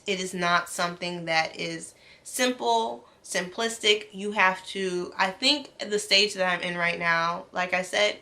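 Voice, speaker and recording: Bright-voiced woman in her late twenties. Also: on the low side, gravelly. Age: 20s. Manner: earnest, encouraging, with filler ums and restarts. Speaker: medical student vlogger. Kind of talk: casual talk to camera.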